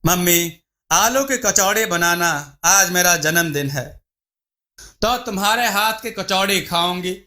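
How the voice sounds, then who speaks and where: A man's voice: high voice, takes it slowly, pushed and bright, full character voice. A man voicing a brave, affectionate schoolgirl daughter in a story, with earnest, pleading delivery. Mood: affectionate, insistent.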